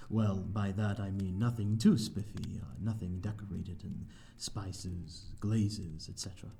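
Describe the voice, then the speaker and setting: A man's voice: sits low, fairly even intonation, a little slow, gravelly, dark tone, faint music behind. A man playing a courteous, lonely vampire of an old manor in an ASMR audio roleplay, speaking in hesitant, formal, old-fashioned phrases. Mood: modest, bashful. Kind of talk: slow ASMR audio roleplay, addressing the listener.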